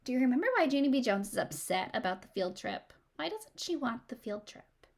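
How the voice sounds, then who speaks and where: A woman reading aloud to children as a teacher, expressive, pausing to ask questions and explain things simply. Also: on the high side.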